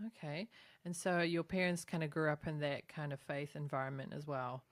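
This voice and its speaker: A woman's voice: deep voice, level tone, moderate pace, dry recording. A woman hosting a podcast, introducing her guest and asking conversational questions. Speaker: podcast host.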